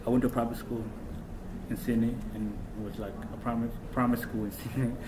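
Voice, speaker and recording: A man's voice: mid-range voice, fast talker, background noise. Young man from Kenya, chatting casually. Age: young adult. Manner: relaxed, storytelling with many fillers. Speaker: guest. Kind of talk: casual chat. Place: small room indoors, seated on a couch.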